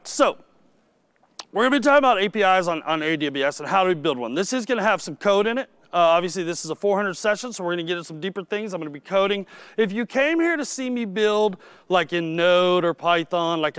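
A middle-aged man who is losing his voice gives a technical conference talk, clearing his throat and cracking self-deprecating jokes with the audience. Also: high voice, a bit bright, no room sound. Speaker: conference speaker.

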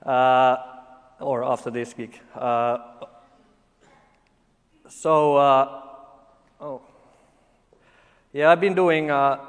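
A man's voice: medium pitch, clean tone. Finnish man joking dryly in an echoing hall. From Finland. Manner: halting, many uh fillers, dry jokes. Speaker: conference speaker. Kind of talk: conference talk with deadpan humor. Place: large conference hall. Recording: long hall reverb.

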